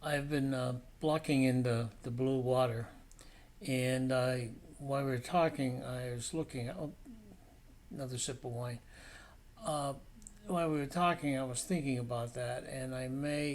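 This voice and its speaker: A middle-aged man giving a casual painting demonstration as an instructor, rambling and thinking aloud. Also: medium pitch. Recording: clear steady background noise.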